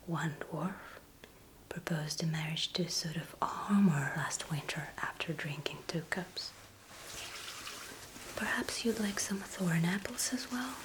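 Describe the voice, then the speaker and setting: A woman playing a gentle, courteous inn hostess in a fantasy ASMR roleplay, speaking in a soft, breathy whisper with formal, measured phrasing. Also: mid-range voice, a little hiss or hum, lightly acted, poor audio. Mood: attentive, hospitable. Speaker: innkeeper character in roleplay.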